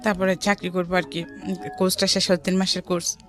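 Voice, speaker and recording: A woman's voice: on the low side, little pitch movement, quick pace, a bit bright. A middle-aged woman with a Bangladeshi accent telling her life story in a conversational way, mixing in some English words. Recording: music behind the voice.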